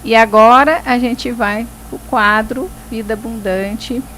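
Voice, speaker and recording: A woman's voice: a little high, steady tone, a bit bright, slight background noise. A woman hosting a faith talk show, speaking reflectively and tagging phrases with "né". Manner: reflective, filling pauses with "né". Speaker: host.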